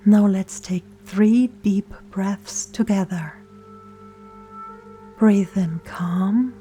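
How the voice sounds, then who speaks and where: Very slow, soft-spoken woman guiding meditation. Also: medium pitch. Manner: soft, soothing, often breathy and near-whispered, with deliberate pauses. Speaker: meditation guide. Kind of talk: gentle scripted guided meditation. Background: soft meditation music and singing bowl tones.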